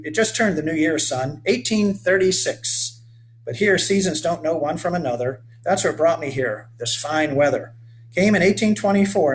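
A man's voice: fairly high pitch, voice pushed up, natural voice, poor audio. Man voicing a weathered old frontier boatman, folksy talk, raised voice, storybook reading, faint hum. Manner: folksy old-fashioned speech, calls the boy lad and son. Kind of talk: voiced character in a read-aloud story.

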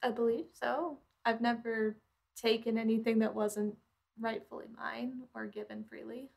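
A woman with a fairly high voice and a strong vocal fry. She is a player in a tabletop role-playing game, answering casually as she goes. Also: steady tone, a little slow, darkish tone.

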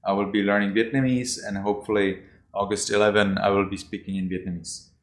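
Low-voiced man teaching over a video call. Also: level tone, fairly quick. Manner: rambling, repeats himself, uses "you know". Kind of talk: casual online class talk. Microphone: laptop mic on video call. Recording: echoey video call audio.

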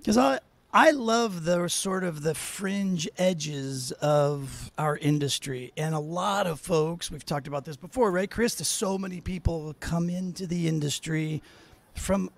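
Enthusiastic male host, gravelly higher voice. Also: measured pace, no echo. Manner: enthusiastic, rambling, repeats words. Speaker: podcast host. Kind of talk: casual video-call chat. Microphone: studio mic on a stand.

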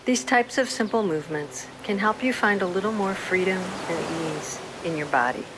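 Soft-voiced woman guiding a meditation. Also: on the low side, background noise. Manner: slow, soothing, gentle pauses. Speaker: meditation guide. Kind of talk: guided meditation narration.

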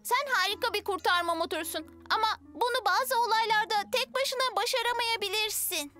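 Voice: extremely high pitch, a little fast, bright, forceful tone. An actor voicing a small, keen and supportive childlike motorbike in a children's cartoon, with music behind. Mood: encouraging. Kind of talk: dubbed children's cartoon acting.

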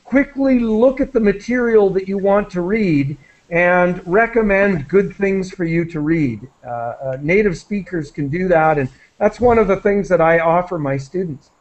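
A man's voice: sits high, animated, measured pace. A man who is a native English speaker, chatting casually and encouragingly with students as their conversation teacher on a video call, with many fillers. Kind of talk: casual online class chat. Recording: muffled video-call audio.

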